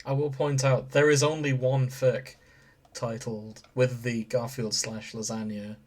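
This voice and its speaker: A man's voice: medium pitch, little pitch movement, measured pace. Man with mid-range voice, dryly joking. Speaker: podcast co-host. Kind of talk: casual comic chat.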